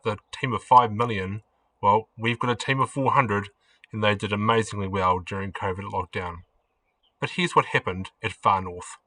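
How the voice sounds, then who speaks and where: A man's voice: fairly low pitch, sharp tone. A man, a chief digital officer, giving an upbeat scripted presentation. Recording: voice somewhat distant from mic.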